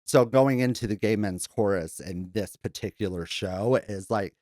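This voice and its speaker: A man with a deep, low bass voice talks casually as an interview guest, recorded close and dry. He is a performer who tells his own story in a rambling, effusive, slightly campy way. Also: normal speed, a little mellow, no echo. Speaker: podcast guest, drag performer and singer. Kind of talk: casual chat, personal storytelling.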